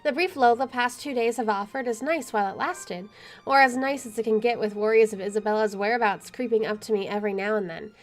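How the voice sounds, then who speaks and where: A woman's voice: a little high, fast talker. A woman streaming a game, reading visual novel narration aloud and adding casual asides. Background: soft music.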